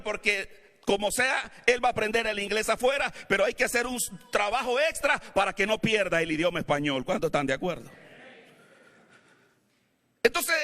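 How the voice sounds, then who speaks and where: A male pastor with a Latin American Spanish accent preaches in a church, his voice bright and edged as he swings from steady teaching to shouted calls to the congregation. His pitch sits high for a man, and long hall reverb trails each phrase. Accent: Latin American Spanish, not Mexican. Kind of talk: sermon. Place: church sanctuary.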